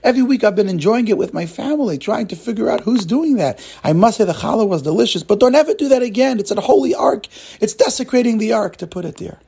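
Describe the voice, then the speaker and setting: A man voicing a scornful synagogue cleaner in a told religious story, loud, incredulous and mocking. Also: sits high, expressive, fast, creaky, slightly rough, pitched above the performer's own voice, exaggerated voice.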